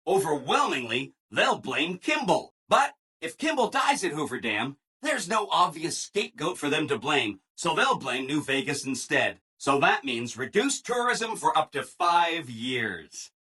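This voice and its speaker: Bright, relentlessly chipper robot helper. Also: male voice, on the high side, sing-song, a little slow, few pauses, husky, exaggerated voice. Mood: cheerfully explanatory. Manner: perky, over-polite, chirpy exclamations. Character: relentlessly cheerful, eager-to-please reprogrammed robot assistant. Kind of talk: video game character dialogue.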